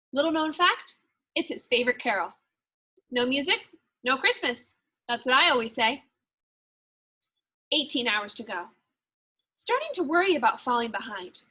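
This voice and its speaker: A woman's voice: high-pitched, pushed and bright, heavily acted. A woman reading a children's storybook as an eager, fretful little Christmas elf, chipper and confiding. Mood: upbeat, confiding. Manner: chipper, punctual, confiding asides. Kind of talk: children's storybook read-aloud.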